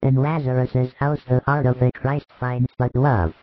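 Male reader as a grave, loving spiritual teacher for a storybook; emphatic pleading, mid pitch, narrow-band sound. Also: level tone, moderate pace, no room sound, lightly acted. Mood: tender. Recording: thin phone-like band, dry.

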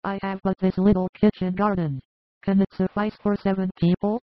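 A woman's voice: medium pitch, level tone, moderate pace, no room sound, natural voice. A woman playing a humble, overworked village mother in a scripted audiobook drama, earnest and deferential. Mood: earnest, weary. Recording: dry, narrow phone-like sound.

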